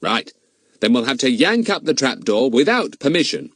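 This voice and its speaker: A clever, confident boy leader gives quick, brisk orders in a lifted voice, put on by a man reading aloud. The delivery is lively and up close, with a dry sound. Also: medium pitch, vocal fry, dry recording, pitched above the performer's own voice, heavily acted. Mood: decisive. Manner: brisk, commanding, a little raised. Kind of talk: audiobook character voice.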